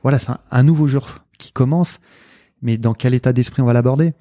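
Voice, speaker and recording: A man's voice: mid-range voice, a little fast, dark tone, no echo, studio quality. A middle-aged man with a Marseille accent, speaking as an interview guest and coach, hesitant with many fillers and restarts. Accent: Marseille French. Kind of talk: casual interview talk.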